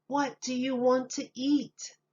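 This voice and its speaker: A woman's voice: high-pitched, level tone, slow, a little mellow, highs cut off, pitched above the performer's own voice. A woman voicing puzzled, eager storybook townspeople in an exclaiming, questioning way.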